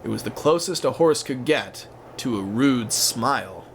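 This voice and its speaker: A man's voice: medium pitch, animated, a little slow, slight background noise. A man narrating a scripted story in a suspenseful, theatrical way. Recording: music behind the voice.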